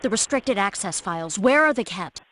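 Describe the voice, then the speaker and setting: A woman's voice: mid-range voice, animated, normal speed, a bit bright. A woman playing a determined female agent infiltrating enemy systems in a scripted video game. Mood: commanding. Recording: soundboard clips with light noise, clicks.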